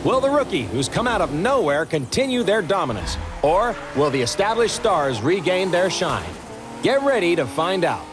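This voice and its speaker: An actor playing an excitable TV announcer of a racing series, hyping it up in showy broadcast commentary. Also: male voice, sits high, moderate pace, over music. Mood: hyped. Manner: showy, hype-building. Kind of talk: hyped sports broadcast commentary.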